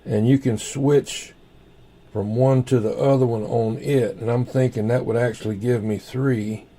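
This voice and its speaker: A man with a soft, mellow voice chats casually as a livestream host. He rambles along without hurry, often muttering half to himself. His tone is relaxed and dark, at an ordinary male pitch. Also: measured pace.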